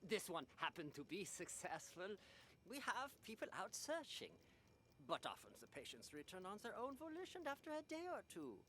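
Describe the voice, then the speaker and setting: A nervously breezy, swooping young administrator. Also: female voice, medium pitch, huge pitch swings, moderate pace, fairly bright tone, slight background noise. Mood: nervous, evasive. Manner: breezy, nervous, over-explaining with hesitant trailing off. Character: young, evasive, chatty woman administrator of a mental institution. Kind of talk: interactive radio drama acting.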